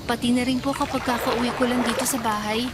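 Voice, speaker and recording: An actress playing an overworked, polite young student in a Filipino radio drama, hesitant and apologetic, with a Filipino accent. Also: female voice, fairly high pitch, steady tone, quick pace, very noisy, close to an everyday voice. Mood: meek, reassuring. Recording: loud music bed behind voice.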